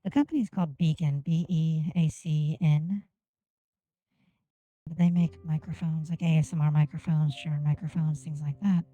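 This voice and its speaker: A woman whose first language is Japanese, chatting on a game stream and enunciating each word deliberately into a desk microphone. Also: low-pitched, steady tone, warm tone, no room sound, poor audio. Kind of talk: casual gameplay stream chat. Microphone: desk microphone on an arm.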